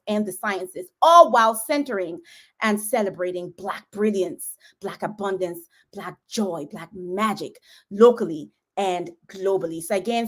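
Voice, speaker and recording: A middle-aged woman hosting an online roundtable over a video call, with exuberant greetings and a rhythmic, preacher-like cadence. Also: mid-range voice. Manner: exuberant, hype-style greetings and affirmations. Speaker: host of a roundtable.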